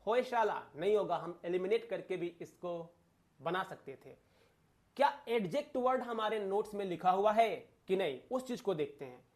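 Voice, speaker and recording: A man's voice: high voice, lively intonation, normal speed, a little mellow. A man with an Indian accent teaching an exam coaching lesson, explaining emphatically, repeating points and asking rhetorical questions.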